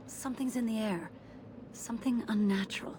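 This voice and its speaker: Woman acting as young female elf, high voice, earnest, wary, grave, fantasy game dialogue, clearly noisy ambient background. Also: fairly even intonation, normal speed, lightly acted.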